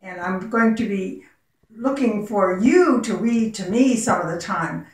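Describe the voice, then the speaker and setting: A woman's voice: mid-range voice, animated, measured pace, a little mellow. A woman who is a former teacher, reading a picture book aloud to young children in her home classroom, asking coaxing, sing-song questions. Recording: very echoey room, voice far from mic.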